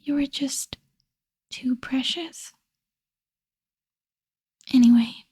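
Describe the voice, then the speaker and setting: Woman acting a breathy, whispering obsessive vampire girl. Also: high-pitched, warm tone, full character voice. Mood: coaxing, possessive.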